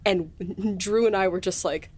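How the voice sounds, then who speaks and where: A woman hosting a casual behind-the-scenes podcast chat, speaking effusively and quick to laugh. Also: medium pitch, darkish tone, slight background noise. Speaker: podcast host and audio drama writer.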